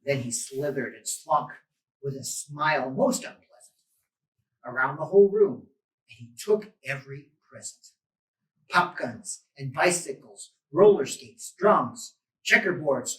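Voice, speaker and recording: A man reading a children's storybook aloud in a theatrical way, with drawn-out, emphatic repetitions. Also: fairly high pitch, animated, bright, forceful tone. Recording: echoey room, distant microphone.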